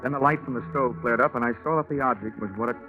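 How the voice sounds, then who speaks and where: An actor playing a wry, hard-boiled American investigator in a 1940s radio detective drama, deadpan and wisecracking. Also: male voice, medium pitch, racing, natural voice. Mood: hard-boiled, narrating. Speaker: detective narrator. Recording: muffled 1940s radio recording with music.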